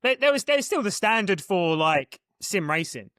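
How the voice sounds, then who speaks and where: A man's voice: sits high, big pitch swings, fairly bright tone, no echo, studio quality. A young man co-hosting a podcast, chatting casually and rambling with lots of restarts. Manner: rapid, rambling, many restarts. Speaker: podcast co-host. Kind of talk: casual chat.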